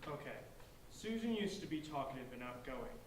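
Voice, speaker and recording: A man's voice: medium pitch, sing-song, moderate pace, lightly acted. A man playing a polite, bookish fifteen-year-old outsider with an earnest, wordy manner in a staged play reading. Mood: earnest, indignant. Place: large echoey indoor room, distant microphone. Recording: echoey room from far off, faint noise.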